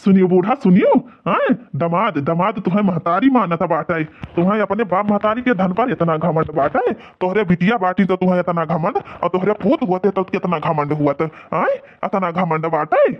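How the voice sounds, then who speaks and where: A man's voice: high-pitched, big pitch swings, normal speed, hardly any pauses. A man voicing an angry, blustering old village husband in a cartoon comedy, with an Awadhi accent, in dull lo-fi sound. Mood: furious scolding. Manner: blustering, repeats accusations.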